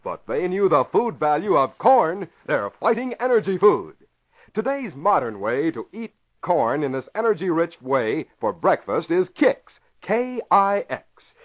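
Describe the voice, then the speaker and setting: A man's voice: a little high, sing-song, a little slow. An American man announcing for 1940s radio in an emphatic, dramatic scripted delivery. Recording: muffled vintage radio recording.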